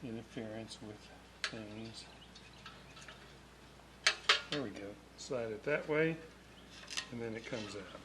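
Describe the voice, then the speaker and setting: A man narrates hands-on restoration work casually, in short unhurried phrases muttered as he goes. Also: lowish voice. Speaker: radio restorer hosting a repair video. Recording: clearly noisy workshop recording with clicks.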